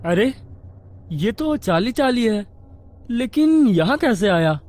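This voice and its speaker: A man plays a bossy college boy in a horror audio story, high-pitched for a male. He instructs and insists, serious about spirit games, with faint noise behind him. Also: big pitch swings, takes it slowly, darkish tone, heavily acted. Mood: dismissive.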